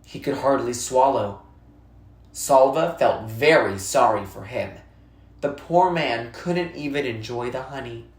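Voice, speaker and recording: A narrator reading a children's novel aloud expressively and deliberately, with dramatic pauses for emphasis. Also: medium pitch. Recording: roomy, echoey sound.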